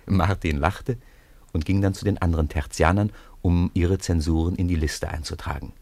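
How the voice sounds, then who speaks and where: A man's voice: sits low, fairly even intonation, normal speed, gravelly, a little mellow, no echo. A man reading scripted narration for a children's radio play, addressing young listeners like a familiar storyteller.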